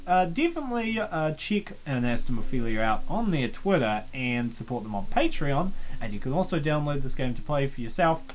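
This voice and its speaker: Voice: mid-range voice, very animated, moderate pace, hardly any pauses, a little hiss or hum. A let's-play commentator reacting in a loose, jokey, chatty way and laughing often.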